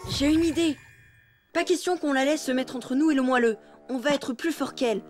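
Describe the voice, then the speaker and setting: A woman voicing a cheeky, sporty young elephant boy in an animated cartoon, with a casual, boyish delivery. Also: high-pitched, moderate pace, close to an everyday voice. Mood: determined. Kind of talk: animated cartoon dub acting.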